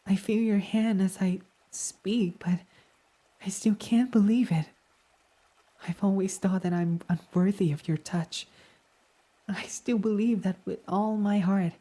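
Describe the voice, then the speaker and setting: A man voicing a devoted, submissive fox boy servant in an intimate ASMR roleplay, reverent, hesitant and breathy. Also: high voice, steady tone, unhurried. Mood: awed, yearning. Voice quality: soft, breathy. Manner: reverent, self-deprecating, hesitant, breathy half-whispers.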